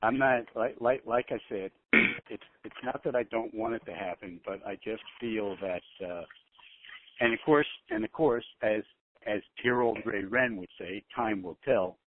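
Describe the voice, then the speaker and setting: Male caller, fairly low voice, halting but insistent, repeating himself over a tinny phone connection. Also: slight background noise. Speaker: conference call participant. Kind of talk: casual discussion, airing opinions. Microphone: telephone.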